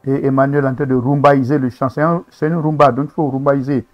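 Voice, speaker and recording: A man's voice: medium pitch, little pitch movement, quick pace, muffled recording, music in the background. A man hosting a reaction video, commenting on gospel singers with enthusiastic, preacherly remarks. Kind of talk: casual commentary on a talent show.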